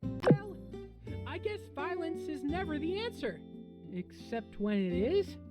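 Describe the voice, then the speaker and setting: An earnest small-town character in a video game. The voice sits very high, with a meek, anxious sincerity. Music is clearly heard behind it. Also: female voice, sing-song, slow pace, heavily acted. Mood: meek, apologetic. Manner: earnest, apologetic.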